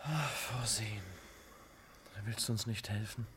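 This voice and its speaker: An actor playing a bold, ardent young son in a radio comedy, with a florid, courtly, theatrical manner. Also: male voice, lowish voice, slow, a bit bright, slight background noise. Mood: pleading. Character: bold, ardent young son teasing his miserly father. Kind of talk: classic German radio comedy acting.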